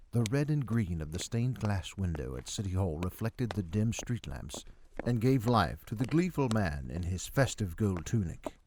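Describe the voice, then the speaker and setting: A man's voice: on the low side, noisy background. A man narrating a dramatic first-person story as a miserly, befuddled middle-aged doctor, in a theatrical, literary delivery. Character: miserly, befuddled 45-year-old male doctor recounting his own tale.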